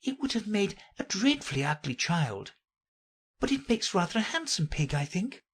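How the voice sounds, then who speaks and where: A woman's voice: mid-range voice, sing-song, moderate pace, slightly rough, pitched above the performer's own voice. A woman voicing a polite, puzzled little girl from a children's storybook, earnest and a little timid. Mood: musing.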